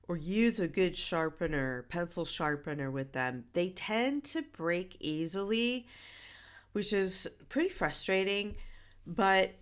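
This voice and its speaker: A middle-aged woman who is a bit stuffy from a head cold, talking through a relaxed drawing tutorial in a chatty way, with many fillers and self-corrections. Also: lowish voice, clear, resonant tone. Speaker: art instructor.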